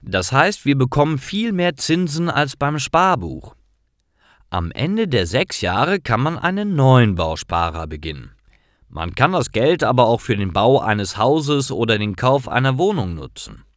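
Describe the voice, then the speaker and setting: A friendly storybook woman acted out by a male narrator, her pitch lifted above his usual voice. She explains patiently and clearly to her partner. The sound is dry and close. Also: sing-song, a little slow, dry recording. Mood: patient, explaining.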